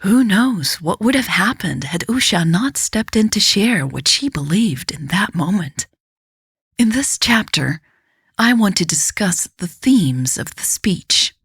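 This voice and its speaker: A woman storyteller reading scripted fiction like a book, in a measured, reflective and deliberate way. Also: medium pitch, sing-song, fairly bright tone, husky, no echo, studio quality. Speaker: podcast storyteller.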